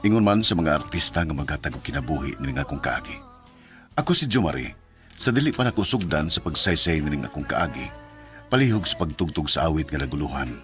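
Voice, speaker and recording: A man's voice: very deep voice, sing-song, fast, fairly bright tone, soft background music, heavily acted. An actor playing a reluctant, grumbling male college student in a Filipino radio drama, with muttering, hesitant delivery. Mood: earnest.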